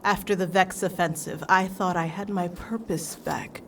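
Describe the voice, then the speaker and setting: A woman's voice: lowish voice, steady tone, moderate pace, a bit bright, far from the mic, a little hiss or hum. A woman playing a troubled, isolated warlock leader in a fan audio drama, emotional and pleading. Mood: bitter, weary.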